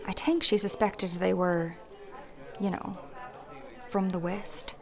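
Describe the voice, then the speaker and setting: A woman's voice: medium pitch, steady tone, slow pace. A woman playing a shrewd, candid innkeeper in a fantasy audio drama, with a folksy, thoughtful way of talking. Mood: confiding, shrewd. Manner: plain-spoken, folksy, thoughtful.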